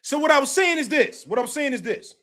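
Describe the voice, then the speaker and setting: A man hosting a live boxing talk stream, talking casually and emphatically, repeating his points, swearing and bursting into shouts. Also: very high-pitched, animated, brisk.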